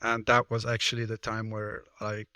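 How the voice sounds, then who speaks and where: Bright, clear-voiced man from Switzerland. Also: on the low side, completely flat delivery, moderate pace, no room sound, clean studio sound. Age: 30s. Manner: hesitant, many fillers and restarts. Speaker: guest, personal trainer and card game player. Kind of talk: casual interview talk.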